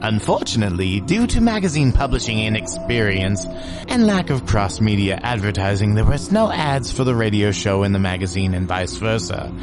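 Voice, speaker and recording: A man's voice: lowish voice, very animated, moderate pace. A man hosting a horror radio podcast with scripted, theatrical introductions. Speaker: podcast host. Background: loud music.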